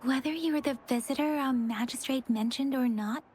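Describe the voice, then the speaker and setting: Earnest young female official, game role, acted by a woman: high, slow, measured delivery, slight background noise. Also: a little mellow, lightly acted. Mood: earnest, polite. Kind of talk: video game voice acting.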